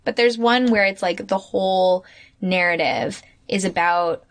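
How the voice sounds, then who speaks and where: A woman's voice: medium pitch, a little slow. A woman co-hosting a podcast, talking through ideas in long, winding, reflective thoughts. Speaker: podcast co-host. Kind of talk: casual chat.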